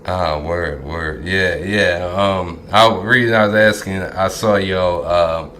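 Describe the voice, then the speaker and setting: A man hosting a podcast interview, talking easygoing with lots of filler pauses. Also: deep voice, bright, forceful tone, a little hiss or hum. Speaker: podcast host. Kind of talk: casual interview chat. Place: Dallas.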